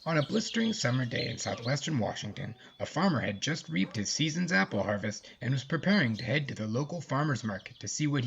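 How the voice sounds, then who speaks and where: A man's voice: medium pitch, vocal fry, fairly bright tone, slight background noise. A man hosting a podcast and telling a scripted story in a casual narrator's style. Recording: slightly muffled, music behind. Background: music.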